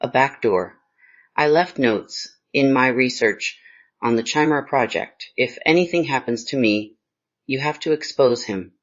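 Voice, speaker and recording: A man's voice: mid-range voice, unhurried, a bit bright, close to an everyday voice. A man playing a grave, fearful investigator mother in a screenplay reading. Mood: pleading, regretful. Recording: slightly dull, lo-fi sound.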